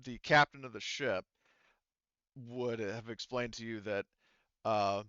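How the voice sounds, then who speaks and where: A man running a tabletop roleplaying game as game master, narrating and refereeing in a halting way with frequent restarts. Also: on the low side, sharp tone, clear, resonant tone, dry recording, highs cut off. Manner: halting, hesitant, often trailing off and restarting.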